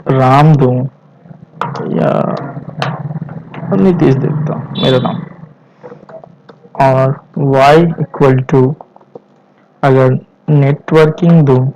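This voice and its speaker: A young Indian man gives a step-by-step tutorial at a slow pace, pausing between short phrases. His accent is Indian and he drops English terms into his explanation. The recording is dull and lo-fi. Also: mid-range voice, steady tone, dark tone. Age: 20s. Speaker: programming instructor.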